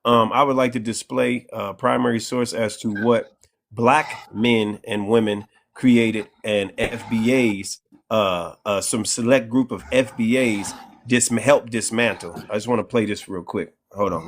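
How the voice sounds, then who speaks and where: A man on a livestream, eager to share a clip, talks in a halting way with fillers. His voice is mid-range, with faint noise behind it. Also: mid-range voice, steady tone. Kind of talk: casual livestream talk.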